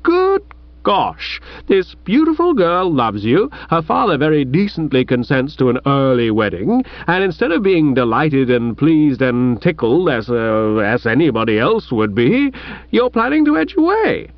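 A man's voice: a little high, very animated, slow pace, no echo. A man voicing an indignant upper-class young Englishman who huffs and declaims in moral outrage. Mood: righteously indignant. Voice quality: huffy, declamatory, snorting. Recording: dull muffled recording.

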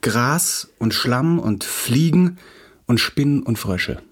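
Radio play: sardonic young writer, husky mid-range voice, touchy and dry, natural delivery. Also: male voice, medium pitch, expressive, slow pace. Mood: petulant. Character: touchy, self-regarding young male playwright.

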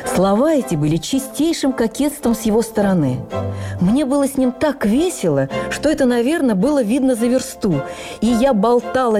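A woman reading a first-person memoir as a radio drama narrator, with expressive, measured storytelling. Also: a little high. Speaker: narrator, actress.

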